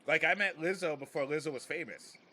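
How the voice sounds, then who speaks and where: A man's voice: a little high, a little fast, bright, forceful tone, slight background noise. A man who works as a comedian, hosting a casual podcast chat in a rambling, joking, slangy way.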